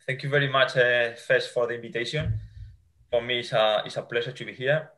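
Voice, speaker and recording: A man's voice: medium pitch, fairly even intonation, a bit bright. A man in his forties from Spain, with a Spanish accent, answering interview questions as a futsal coach over a video call, often restarting his sentences. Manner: halting, with many restarts and fillers. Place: home room.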